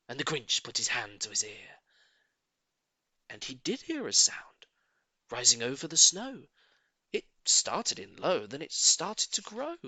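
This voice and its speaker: A man's voice: on the high side, bright, forceful tone, dry recording, poor audio. A man narrating a rhyming storybook in a theatrical, playful and dramatic way.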